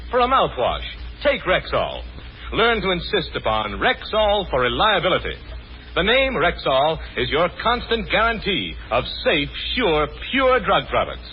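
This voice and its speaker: A male announcer reads a 1940s radio advertisement. His voice is fairly high and animated, with emphatic, measured selling. It sounds like a dull, vintage broadcast. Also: slight background noise.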